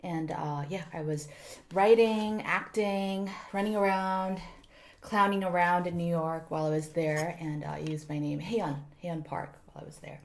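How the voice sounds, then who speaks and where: A woman's voice: fairly low pitch, moderate pace. A woman in her thirties from South Korea, a native Korean speaker, chatting reflectively as a podcast guest. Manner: reflective, animated, sprinkles in Korean words. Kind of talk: casual podcast chat.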